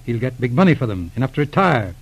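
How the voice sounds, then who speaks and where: An actor playing a brisk, earnest police inspector in an old radio drama, with an urgent, formal, clipped manner. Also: male voice, mid-range voice, big pitch swings, breathy edge. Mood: earnest, troubled. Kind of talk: 1940s radio drama acting. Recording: dull old radio recording.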